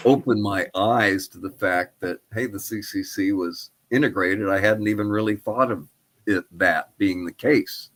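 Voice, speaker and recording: An older man answering interview questions as a historian, speaking in a halting, thoughtful, tentative way. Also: on the low side, fairly bright tone. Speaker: archaeologist and historian guest. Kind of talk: interview answers about research.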